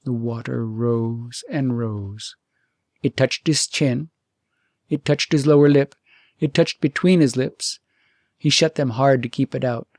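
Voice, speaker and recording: A man's voice: mid-range voice, steady tone, unhurried, darkish tone, no echo, studio quality. A man reading a fairytale aloud as an audiobook storyteller, in scripted narration.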